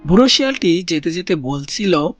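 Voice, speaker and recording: A man narrating a children's cartoon story in a gentle storybook manner, speaking to young listeners as friends. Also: sits high, big pitch swings, moderate pace. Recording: voice-over with loud background music.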